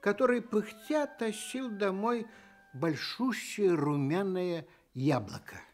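A man telling a children's story in an unhurried, expressive manner. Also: high voice. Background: music.

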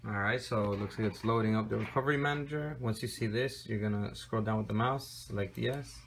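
A man's voice: lowish voice, a little fast, creaky. Man, tech how-to host, easygoing with plenty of "uh"s, phone-recorded with faint background noise. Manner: relaxed, step-by-step with frequent fillers. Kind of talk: casual screen-recorded tutorial. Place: indoor room with desk and computer.

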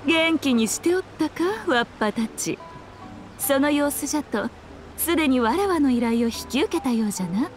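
A woman's voice: high-pitched, lively intonation, normal speed, soft background music. A woman voicing a sly, self-assured fox shrine maiden in a Japanese anime game, speaking in a lordly, archaic, teasing manner.

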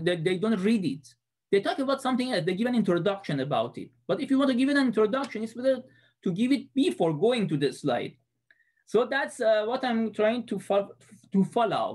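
Man, 30s, Persian accent, student presenter recorded on webcam; halting delivery with frequent fillers. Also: high-pitched. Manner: hesitant, many fillers and restarts. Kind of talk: class presentation lecture.

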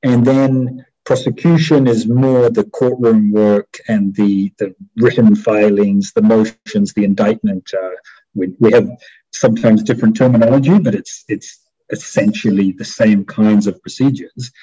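A middle-aged man with a New Zealand accent, explaining as an interview guest in a measured, hesitant way over a headset on a video call. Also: on the low side, fairly even intonation, warm tone, slightly rough. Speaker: interview guest, war crimes prosecutor. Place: small indoor room at home. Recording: dull video-call audio.

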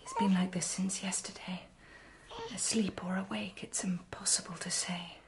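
Actress as hushed, anguished young mother. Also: lowish voice, flat delivery, moderate pace, in a whisper, close to an everyday voice. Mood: grieving. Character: anguished estranged wife and worried mother. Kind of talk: period radio drama acting.

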